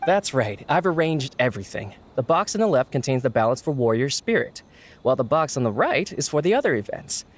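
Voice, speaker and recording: A man's voice: mid-range voice, big pitch swings, brisk. An actor playing a courteous, earnest male organiser in a video game, speaking formally and explaining eagerly. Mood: eager, explanatory. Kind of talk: animated game voice acting.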